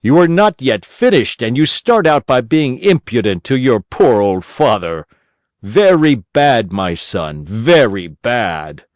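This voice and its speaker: A man's voice: medium pitch, sing-song, slow, no echo, clean studio sound. A man voicing a poor old woodcarver father who is easily exasperated, scolding and lamenting in a storybook audiobook. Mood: scolding, sorrowful.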